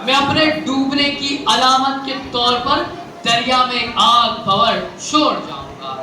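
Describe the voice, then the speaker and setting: A student orator with a Pakistani accent declaims poetry and rhetoric with theatrical fire. The voice rings through heavy echo and sits far from the microphone. Clear background noise runs through the recording. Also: high-pitched, unhurried, pushed and bright. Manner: theatrical, rhetorical, with repeated phrases for emphasis. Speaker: student speech contestant. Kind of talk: fiery declamatory Urdu speech with poetry. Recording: very echoey, distant mic, noisy venue recording.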